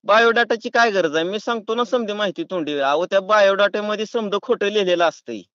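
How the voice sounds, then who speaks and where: A man's voice: sits high, fairly quick, fairly bright tone, no echo. A man with a rural Maharashtrian accent talking in a pushy, folksy, argumentative way on a prank phone call. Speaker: prank caller. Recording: dull, lo-fi phone call recording.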